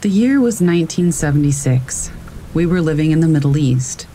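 A man calmly reading scary stories aloud as a narrator, in a steady storytelling manner. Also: on the high side, moderate pace, a little hiss or hum. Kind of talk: scripted narration of reader submissions. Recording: faint rain in the background. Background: rain.

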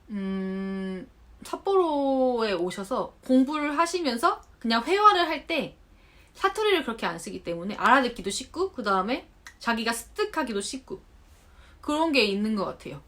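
A Korean woman in her thirties talking casually to camera, chatty and animated, acting out remarks she quotes. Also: on the high side, moderate pace. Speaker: vlogger. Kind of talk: unscripted talk to camera. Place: home in Japan.

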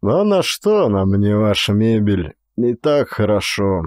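A man voicing a sedate, dignified and mighty peasant in an audiobook, with a drawn-out, staid delivery. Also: fairly low pitch, very animated, darkish tone, no echo, clean studio sound. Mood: unhurried, staid.

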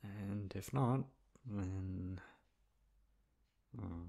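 A man's voice: sits low, gravelly, dark tone, exaggerated voice. A man acting in an ASMR roleplay as a flustered, dominant young best friend, hesitant at times and blunt at others. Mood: anxious, self-doubting. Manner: hesitant with pauses, turning bossy and blunt. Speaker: ASMR voice actor.

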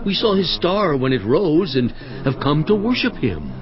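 A man's voice: fairly high pitch, big pitch swings, normal speed. An actor playing an eager travelling wise man in a dramatized Bible, speaking earnestly. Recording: dull, muffled audio.

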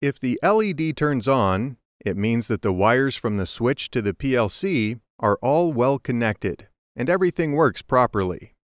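A man's voice: mid-range voice, lively intonation, moderate pace, few pauses, no echo. Man narrating a tutorial, dry close sound. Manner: clear, methodical explaining. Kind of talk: scripted instructional narration. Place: close, dry voice-over recording.

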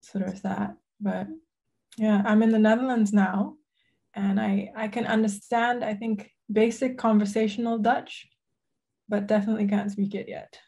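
A woman's voice: mid-range voice, steady tone, normal speed, creaky, mellow, lo-fi sound. A young woman from Saint Lucia, a guest on a video call, chatting casually about her background. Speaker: guest athlete. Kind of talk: casual interview chat. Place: home room, indoors.

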